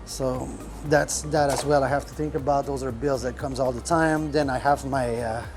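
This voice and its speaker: Man talking shop, halting. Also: medium pitch, fairly even intonation, over music. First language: French. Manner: rambling, many fillers, searches for words. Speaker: restaurant owner. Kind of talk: casual podcast talk. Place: restaurant kitchen.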